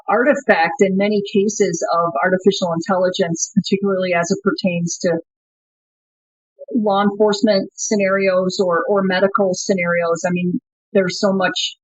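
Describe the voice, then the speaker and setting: A woman's voice: medium pitch, no room sound. Woman cofounder explaining her work, lots of restarts, dry kinda muffled remote sound. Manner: thoughtful, frequent restarts and fillers. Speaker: company co-founder, guest. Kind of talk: conversational interview answers.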